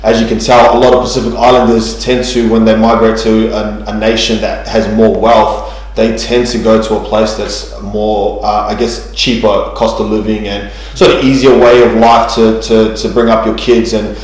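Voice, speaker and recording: A man's voice: lowish voice, level tone, moderate pace, hardly any pauses, heavy reverb, poor audio. A man, telling his life story in a church interview, hesitant with many ums and restarts. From New Zealand. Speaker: guest sharing testimony.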